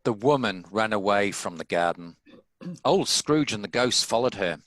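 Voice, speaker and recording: A man's voice: fairly low pitch, animated, normal speed, fairly bright tone, no room sound, poor audio. A man reading scripted story narration as the narrator of a table read.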